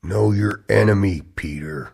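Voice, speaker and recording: An actor playing a grave, ominous insider who knows of a conspiracy, in a radio drama. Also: male voice, on the low side, measured pace, gravelly, a little mellow, slight background noise. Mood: grave warning. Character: Zeke, grave male insider warning of conspiracy.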